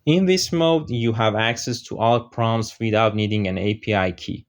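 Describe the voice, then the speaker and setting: A man whose first language is Persian, narrating a software tutorial over screen recordings, explaining step by step with frequent "uh" fillers. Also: fairly low pitch, normal speed.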